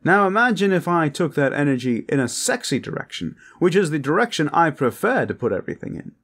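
A man's voice: fairly high pitch, big pitch swings, a little fast, a bit bright, husky, voice pushed up, exaggerated voice. A man voicing a cocky, lewd male vampire in an audio roleplay, with pompous, bawdy boasting.

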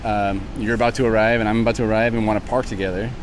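A man's voice: on the low side, level tone, racing. A man in his thirties chatting casually and loosely as a vlog host, recorded on location with a small handheld mic. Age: 30s. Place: in a moving car and outdoors in a parking lot. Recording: outdoor urban and car noise.

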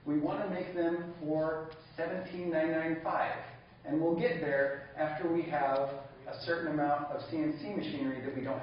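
A man's voice: fairly high pitch, slight background noise. A man giving an enthusiastic conference keynote, laughing along with the audience at times. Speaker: keynote speaker. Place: large conference hall. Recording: echoey hall, lo-fi, from a distance.

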